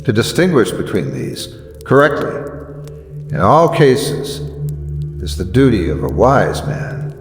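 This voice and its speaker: Slow, echoing male motivational narrator. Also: lowish voice, big pitch swings. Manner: deliberate, solemn, emphatic with weighty pauses. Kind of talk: dramatic motivational speech narration. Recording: echoey long reverb over music. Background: background music.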